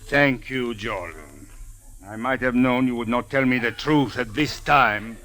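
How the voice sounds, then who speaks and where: An actor playing a stern, suspicious police captain who speaks with formal, precise, sardonic diction in a 1940s radio drama. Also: male voice, medium pitch, a little slow, over music, natural voice. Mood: cool, sardonic. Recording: old radio recording.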